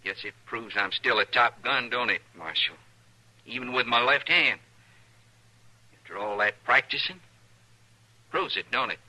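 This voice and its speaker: An actor playing a proud, unhinged gunslinger in an old-time radio western, boastful and pressing for approval. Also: male voice, medium pitch, expressive, a little slow, long pauses, sharp tone, exaggerated voice, poor audio. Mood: boastful, needling. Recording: vintage radio drama recording.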